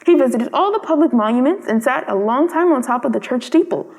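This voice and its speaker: A woman narrates a storybook in a high voice. She reads from a script as a storyteller. The recording carries a long reverb. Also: expressive, fast talker, a little mellow.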